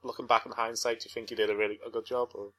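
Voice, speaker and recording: A man's voice: lowish voice, flat delivery, racing, sharp tone. A man hosting a casual interview, asking easygoing questions and laughing along. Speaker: podcast host, interviewer.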